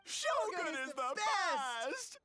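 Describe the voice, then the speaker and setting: An actress voicing an excitable, naive small girl in a game, with gushing, drawn-out exclamations. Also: very high voice, a little hiss or hum, heavily acted. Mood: excited, amazed. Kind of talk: scripted game dialogue.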